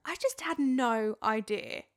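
A young pregnant woman with a Dartford accent, co-hosting a casual chat, teasing and quick to laugh. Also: fairly high pitch. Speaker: podcast co-host.

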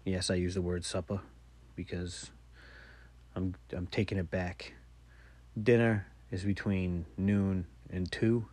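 A man's voice: low-pitched, level tone, slow pace, pauses often, darkish tone, dry recording. A man in his forties telling rambling, self-mocking stories on his podcast, swearing casually. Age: 40s. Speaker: podcast host. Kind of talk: casual solo storytelling.

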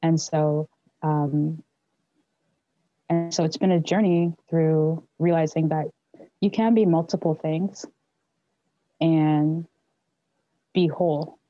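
A woman with a low, mellow voice co-hosts a relaxed book discussion. She muses her way through each thought and often backs up to rephrase. The recording is dry and a little muffled. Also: slow pace, pauses often, no echo. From Zambia, raised in the United States. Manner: reflective, thinks aloud.

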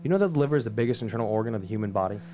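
A man's voice: lowish voice, lively intonation, very fast, lightly acted. A man playing a weary, earnest former steroid-using gym owner in a comedic script read, blunt and confiding. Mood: exasperated confiding.

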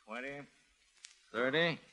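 An American actor playing a blunt, domineering crime boss in a 1930s radio drama, on a vintage recording. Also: male voice, mid-range voice, slow, loud music behind the voice, natural voice. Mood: businesslike.

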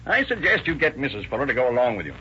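An actor playing a brisk, commanding police chief in a 1930s radio drama. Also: male voice, mid-range voice, very animated, bright, forceful tone. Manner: brisk, commanding, summing things up. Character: authoritative police chief running a meeting. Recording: dull old radio recording with hiss.

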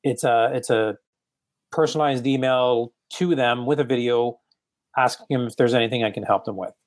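Hesitant man with a slight French accent. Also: medium pitch. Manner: halting, restarts often, many "you know"s. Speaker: real estate agent. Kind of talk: casual coaching conversation.

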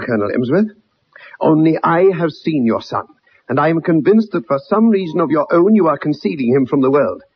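A man's voice: a little high, breathy edge. An actor playing an earnest, dogged young ex-soldier, telling his story in a formal, dramatic way in a radio drama. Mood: defiant. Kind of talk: 1960s radio drama acting. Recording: muffled old radio broadcast.

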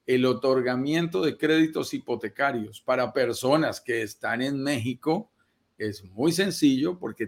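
Persuasive man giving investment advice. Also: mid-range voice. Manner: measured and persuasive, speaks in lists. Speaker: real estate investment advisor. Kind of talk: explanatory live-stream talk.

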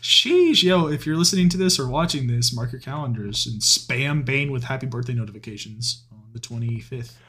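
A young man, co-hosting a podcast in casual chat, animated, laughing often and swearing. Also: mid-range voice, fairly quick, hardly any pauses. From Texas. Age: 20s. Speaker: podcast co-host.

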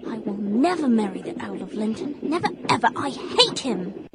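An actress playing a naive young Victorian English gentlewoman in a radio drama, breathless, proper and easily flustered. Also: very animated, normal speed. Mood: evasive, flustered. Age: young adult. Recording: clear train rumble behind voice. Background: train carriage ambience.

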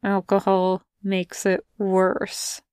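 Woman reader as a weary young man, flat and sardonic, slow delivery. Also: medium pitch, clear, resonant tone, no echo. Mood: deadpan. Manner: dry, flat, slow deadpan. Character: tired, dry young man, quietly sardonic. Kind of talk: podfic character voice.

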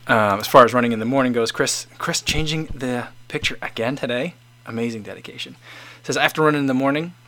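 A man's voice: mid-range voice, quick pace, pushed and bright. A man in his forties casually chatting as host, reading out viewer comments and rambling with many restarts. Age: 40s.

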